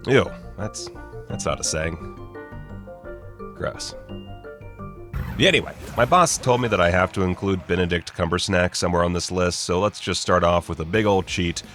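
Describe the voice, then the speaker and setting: Wry low-voiced man hosting over music. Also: moderate pace, long pauses, fairly bright tone. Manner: wry, sarcastic, jokey mock-casual delivery. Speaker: video host. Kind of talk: scripted list-video narration with joking asides.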